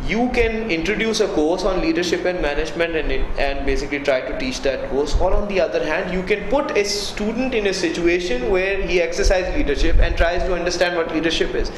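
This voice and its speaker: Fast-talking man explaining earnestly. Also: a little high. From Pakistan. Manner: earnest, explanatory, often adds "you see". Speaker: university director of student affairs. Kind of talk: interview answers. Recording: echoey room with background noise.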